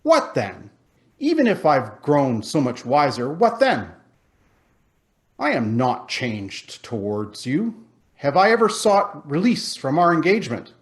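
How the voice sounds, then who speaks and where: A man's voice: fairly high pitch, wildly swooping pitch, slow, full character voice. A man voicing a proud, defensive man in his prime in a storybook reading, challenging and emphatic.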